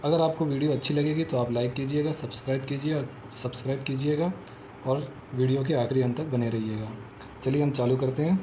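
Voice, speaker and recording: Mellow-voiced man teaching exam tricks. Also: mid-range voice, brisk, a little hiss or hum. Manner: repetitive, peppers talk with "theek hai" and "hai na"; storytelling, explains step by step and repeats. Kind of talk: casual explanatory lesson with mnemonic tricks.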